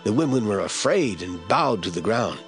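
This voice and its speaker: A man's voice: fairly low pitch, big pitch swings, moderate pace, faint music behind. A man narrating scripture as a scripted audio drama storyteller.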